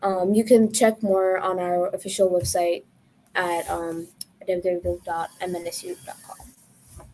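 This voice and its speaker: A young woman from Ethiopia, a university student, presenting housing information in a measured way with a few "um"s. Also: fairly low pitch, little pitch movement, mellow, slight background noise, rough recording. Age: young adult. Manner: informative, measured, with some ums.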